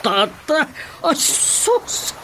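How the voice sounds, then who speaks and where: A smug older ilocano suitor, real slow and exclamatory, radio drama, noisy recording. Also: male voice, very high voice, very animated, a bit bright, heavily acted. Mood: delighted. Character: smug, moneyed older male suitor eager to marry. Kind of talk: radio drama comedy acting.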